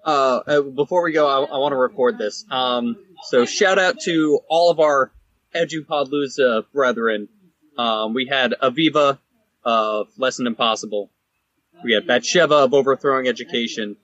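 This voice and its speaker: A man's voice: medium pitch, moderate pace, a bit bright, lo-fi sound. A man co-hosting a podcast, talking in casual, unscripted chat. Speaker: podcast co-host.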